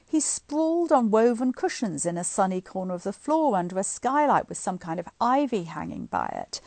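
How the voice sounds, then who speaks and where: A middle-aged woman reading a book aloud to online listeners in an expressive, informal, chatty way. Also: fairly high pitch, no room sound. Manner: informal, chatty asides between expressive read passages. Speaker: guest reader of a bedtime story series. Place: indoors at home, on a live online stream.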